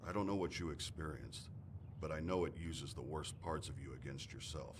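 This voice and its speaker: A man's voice: extremely low pitch, normal speed, background noise. An actor playing a weary, resolute mortician haunted by what he has survived, speaking solemnly in a horror game. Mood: solemn, urging.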